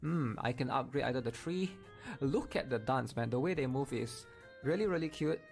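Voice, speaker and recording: A man's voice: medium pitch, normal speed, vocal fry, a little mellow, soft background music. A man giving casual, explanatory gameplay commentary, often checking in with his viewers. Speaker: gaming video creator.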